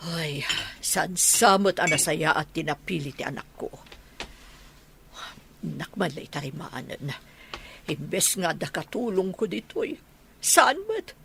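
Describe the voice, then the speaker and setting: A woman's voice: low-pitched, animated, a little slow, vocal fry, pauses often, background noise, full character voice. An actress playing an older, motherly house caretaker in an Ilocano radio comedy drama, deferential to her employer and grumbling in private.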